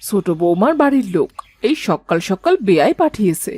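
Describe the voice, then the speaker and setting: Woman doing kindly older mother-in-law, cartoon, homely and bossy, really swingy pitch, dry sound. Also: big pitch swings, brisk, darkish tone, slightly rough, dry recording. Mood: hospitable. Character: hospitable, kindly older Bengali mother-in-law, head of household. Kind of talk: cartoon family drama acting.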